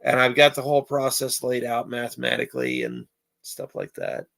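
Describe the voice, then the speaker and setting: A man with a hoarse voice chatting casually with viewers on a live stream, rambling with many filler pauses. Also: mid-range voice, sing-song, fairly bright tone.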